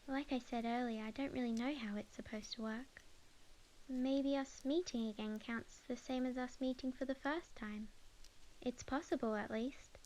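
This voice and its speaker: A woman with an Australian accent playing a young lovestruck witch in a soft, intimate ASMR romance roleplay, earnest and hesitant, sometimes near a whisper. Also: a little high, fairly even intonation, moderate pace, a little hiss or hum, close to an everyday voice, low-quality recording. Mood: tender, earnest. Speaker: ASMR audio roleplay performer.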